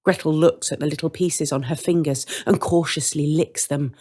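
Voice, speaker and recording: A woman's voice: low-pitched, fairly even intonation, moderate pace, dark tone. A woman narrating a scripted story in a wry manner, speaking directly to the listener.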